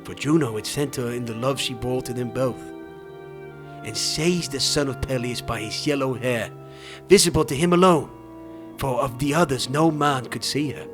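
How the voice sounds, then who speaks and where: A man's voice: medium pitch, normal speed. A man narrating an epic audiobook in a grave, theatrical storytelling style, with music behind him. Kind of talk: dramatic scripted audiobook narration.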